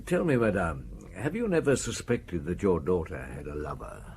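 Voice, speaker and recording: A calm, deep-voiced inspector. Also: male voice, normal speed, a little hiss or hum. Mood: questioning. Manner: calm, probing, dryly amused. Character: shrewd, easygoing middle-aged police inspector. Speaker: Inspector Maigret. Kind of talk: radio drama acting and narration.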